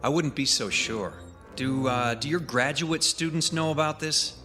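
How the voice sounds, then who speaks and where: A man's voice: medium pitch, a little slow, sharp tone, soft background music. An actor playing a cocky, persistent male investigative reporter in a radio drama, probing and needling with sardonic remarks.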